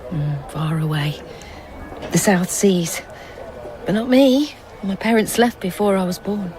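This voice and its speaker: A woman's voice: mid-range voice, a little slow, noisy background, close to an everyday voice. An actress playing a polite, slightly guarded young serving woman, deferential in an audio drama. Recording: faint outdoor urban ambience.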